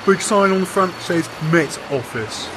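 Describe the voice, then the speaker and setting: A polite, deferential police constable, played by a man. His voice sits slightly high. Street noise is clearly heard behind him. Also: lively intonation, a little slow. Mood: helpful. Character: helpful male police officer.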